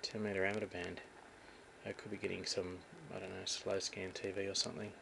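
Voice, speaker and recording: Aussie guy showing off his radio, croaky lowish voice, kinda halting, really loud static. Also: flat delivery. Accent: Australian. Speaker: radio hobbyist demonstrating a receiver. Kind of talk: casual hands-on demonstration. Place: indoors at a radio shack bench.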